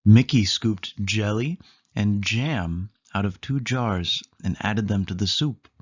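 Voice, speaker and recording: A man's voice: fairly low pitch, takes it slowly, slightly rough, lo-fi sound. A man narrating a children's picture book aloud, leaning emphatically on key words. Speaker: storybook reader.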